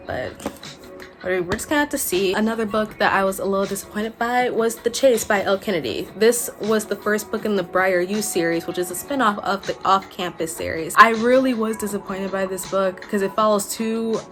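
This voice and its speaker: A woman's voice: mid-range voice, a bit bright. A woman in her twenties chatting informally and emphatically to camera like a book vlogger. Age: 20s. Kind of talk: casual chat to camera. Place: small furnished room at home, indoors. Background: background music.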